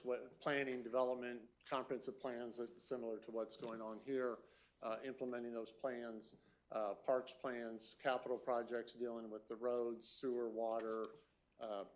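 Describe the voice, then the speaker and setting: A man gives his background in a council chamber. He pauses often with "uh" and "um" as he lists his experience. His voice sits at an ordinary male pitch. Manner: hesitant, lots of fillers. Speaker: city manager candidate. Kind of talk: public meeting introduction.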